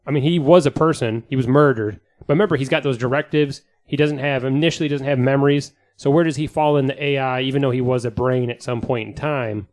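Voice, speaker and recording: A man's voice: medium pitch, steady tone, fast talker, hardly any pauses. A man in a casual podcast discussion, insistent and repeating phrases for emphasis. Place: podcast studio.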